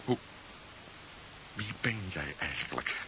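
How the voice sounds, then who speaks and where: A man's voice: very deep voice, big pitch swings, slow pace, sharp tone, heavily acted. A man playing a desperate, stubborn hero betrayed by his wife in a 1970s science fiction radio drama, urgent and intense. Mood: shocked, accusing. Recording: muffled, hissy 1970s radio recording.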